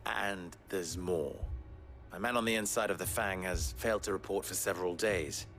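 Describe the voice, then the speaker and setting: A man's voice: low voice, moderate pace, fairly bright tone, soft background music. A man playing a suave, wry underworld fixer in a video game cutscene, with arch, formal phrasing. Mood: grave, wry.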